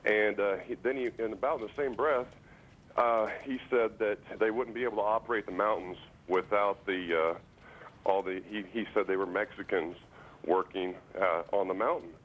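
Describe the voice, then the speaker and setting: A man phoning a call-in show, speaking casually and haltingly, repeating words as he insistently makes his point. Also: on the low side, steady tone, a little hiss or hum. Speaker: radio and TV phone-in caller. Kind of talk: casual opinion and anecdote on a call-in. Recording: voice over phone line to broadcast.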